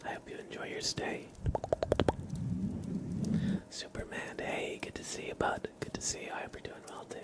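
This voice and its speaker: A man hosting an ASMR livestream, talking in a gentle, reassuring, soothing way. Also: moderate pace, long pauses. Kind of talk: whispered ASMR, soothing affirmations and chat to viewers.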